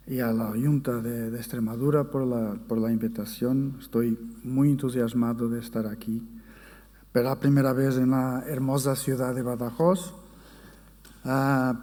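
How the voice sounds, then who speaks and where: A man with a Portuguese accent, a native Portuguese speaker, gives a formal conference presentation, haltingly searching for words in his second language. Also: mid-range voice, warm tone. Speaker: academic conference speaker, forensic psychologist. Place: conference hall. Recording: reverberant hall sound.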